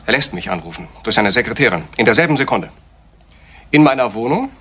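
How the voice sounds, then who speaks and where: Casting: male actor as cool, unrepentant blackmailer, medium-pitched and scornful, vintage crime radio play with light noise. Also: animated, fast talker, a bit bright, close to an everyday voice. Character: cynical, brazen male blackmailer, coolly unafraid. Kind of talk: retro German radio crime drama acting.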